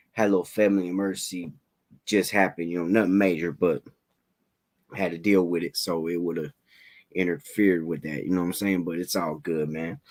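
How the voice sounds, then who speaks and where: A man hosting a casual livestream interview, talking in relaxed slang, a little raspy from a head cold. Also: low voice. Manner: laid-back slangy hype, fillers like "you know what I'm saying".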